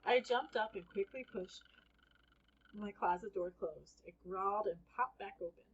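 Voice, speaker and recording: A woman reading a children's book aloud as an expressive first-person storyteller. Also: mid-range voice, a little slow, muffled recording, a little hiss or hum.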